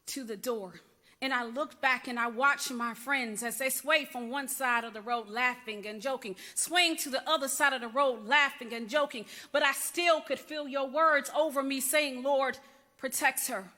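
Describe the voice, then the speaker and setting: A woman's voice: sits high, a little slow, sharp tone, voice pushed up. A woman performing a dramatic church monologue as a rebellious young niece confessing to her aunt, in an emphatic, repetitive storytelling cadence. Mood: intense, haunted. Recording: echoey hall with long reverb.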